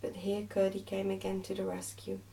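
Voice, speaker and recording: A woman narrates a children's story in a soft ASMR style. Her voice is mellow and relaxed, with noticeable creak. She is recorded slightly away from the microphone, with faint noise behind. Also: mid-range voice, fairly quick, far from the mic, low-quality recording. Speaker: ASMR reader.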